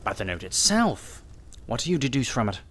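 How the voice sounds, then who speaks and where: Audiobook detective, male, acted out: brilliant and self-assured, mid-pitched with lively swings, precise and didactic. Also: normal speed. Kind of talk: audiobook character acting.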